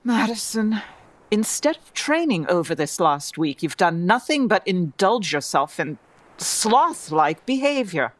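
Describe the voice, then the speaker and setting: A woman's voice: medium pitch, very animated, unhurried, no echo. A woman playing a dignified, exasperated Amazon queen with a formal, stern manner in a comedy audio drama. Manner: formal, stern, long-suffering.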